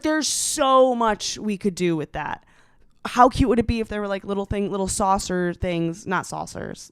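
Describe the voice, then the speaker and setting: Clear-voiced American comedian telling gossip. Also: female voice, mid-range voice, no echo, clean studio sound. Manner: animated storytelling, slangy, sarcastic, dramatic emphasis. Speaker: comedian, podcast host. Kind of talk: casual comedic podcast monologue.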